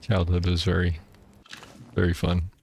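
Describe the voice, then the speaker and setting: Young male streamer, laid-back rambling talk, monotone delivery, faint background hiss. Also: deep voice, unhurried, vocal fry. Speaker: gameplay streamer. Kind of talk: casual chat while playing.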